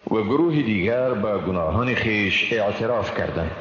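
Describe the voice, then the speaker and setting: Low-voiced Afghan Dari man in long reverb. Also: expressive, fairly bright tone, soft background music. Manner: solemn, measured, careful phrasing. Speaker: translation reader. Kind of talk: formal scripted reading of scripture translation. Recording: long reverb, dull, faint noise.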